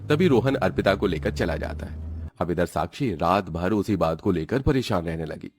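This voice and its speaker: Low-voiced man narrating a story. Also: animated, moderate pace, slight background noise. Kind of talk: scripted cartoon story narration.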